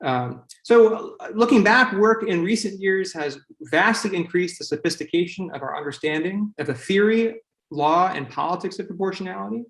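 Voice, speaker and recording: A man giving an academic conference talk as a panelist, speaking haltingly with many fillers between phrases. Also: high-pitched, lively intonation, vocal fry, fairly bright tone, echoey room.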